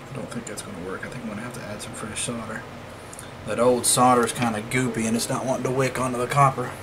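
Clear background noise sits behind a man with a mid-pitched voice. He talks in an informal, folksy way, thinking aloud while he does hands-on repair work. It sounds like a casual home-made DIY vlog recorded at a work table. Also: level tone, fast. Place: indoors at a wooden work table.

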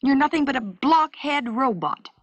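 A woman's voice: high-pitched, expressive, moderate pace, dry recording, pitched above the performer's own voice. A woman reading the lines of an imaginative young girl with firm opinions, in an emphatic, insistent manner. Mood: angry.

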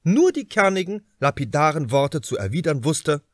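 A man's voice: a little high, big pitch swings, normal speed, dry recording, studio quality. A man reading a crime novel aloud as a scripted audiobook narration.

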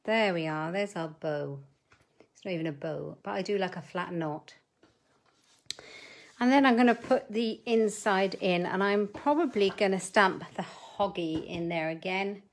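A woman's voice: mid-range voice, a little slow. Chatty woman at her craft desk. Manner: chatty, muttering asides while working. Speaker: papercraft host. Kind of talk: live crafting demo, chatting with viewers. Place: home craft desk, indoors.

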